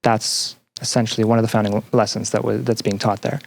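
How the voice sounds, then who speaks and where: A young man answering as a podcast guest in an earnest, conversational way, haltingly repeating phrases as he thinks. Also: on the low side, flat delivery, vocal fry. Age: young adult. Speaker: podcast guest, student and teaching assistant.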